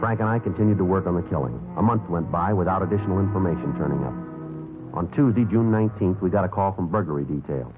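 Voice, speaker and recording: A man with an American accent acting a terse, businesslike police detective in a 1950s radio drama, in clipped deadpan delivery. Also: low voice, quick pace, lightly acted. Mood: matter-of-fact. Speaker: lead actor and narrator of a police radio series. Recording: narrow-band 1950s radio recording with music.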